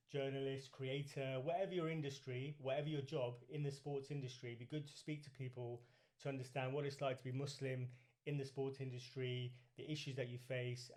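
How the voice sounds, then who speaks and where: A man's voice: medium pitch, a little mellow. A man with a southern English accent, talking casually as a podcast host and interviewer. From UK, grew up in Reading.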